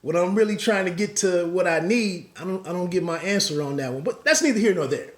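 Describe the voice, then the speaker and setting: A man's voice: high-pitched, lively intonation, fairly quick, echoey room. A man hosting a podcast, giving solo boxing commentary in a rambling way with many restarts and asides.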